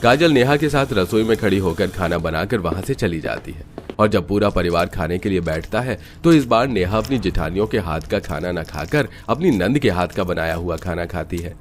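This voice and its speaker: Male narrator, low pitch, cartoon family tale, noticeable background noise. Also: lively intonation, normal speed. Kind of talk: scripted cartoon story narration.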